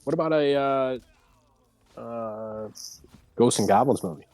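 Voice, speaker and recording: A man's voice: medium pitch, a little slow, warm tone, dry recording. A man talking informally as a panelist in a casual live-streamed group chat.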